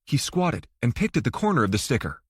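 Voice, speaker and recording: Expressive male audiobook narrator, slightly husky. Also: mid-range voice, fairly quick, no room sound, studio quality. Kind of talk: scripted fiction narration.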